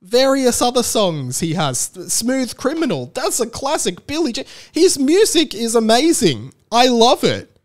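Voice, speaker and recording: A man's voice: very high-pitched, very animated, a little slow. A man hosting a casual, comedic solo podcast, rambling bluntly and swearing freely. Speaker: podcast host.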